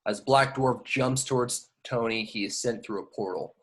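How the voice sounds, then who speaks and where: A man's voice: medium pitch, normal speed. A man narrating scripted action directions in an amateur table read of a film. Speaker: narrator reading stage directions.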